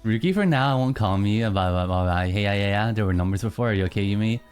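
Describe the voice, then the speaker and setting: A streamer in casual live-stream chatter, teasing chat and answering in mock outrage between low, mumbled musings. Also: fast, darkish tone, clear, resonant tone, faint music behind. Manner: reacts to chat, teasing, mock-indignant bursts.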